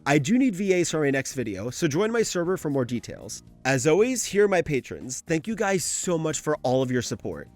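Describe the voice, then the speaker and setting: A man hosting a video essay, delivering scripted analysis in a casual, conversational way. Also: on the high side.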